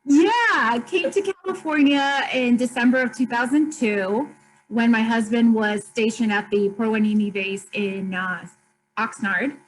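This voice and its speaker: Higher-voiced woman talking earnestly on a zoom call, bit of hum in the back. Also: a little slow, rough recording. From Colombia. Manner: open, earnest, speaks of hard times steadily. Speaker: store owner, guest. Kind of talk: video call interview. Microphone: video call.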